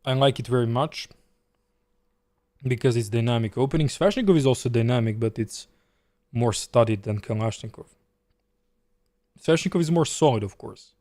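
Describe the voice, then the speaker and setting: Chess streamer, man, Serbian accent, mid pitch, dry close mic, chatty live commentary. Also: moderate pace, long pauses, a little mellow, dry recording. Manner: chatty, reads chat aloud and answers while playing.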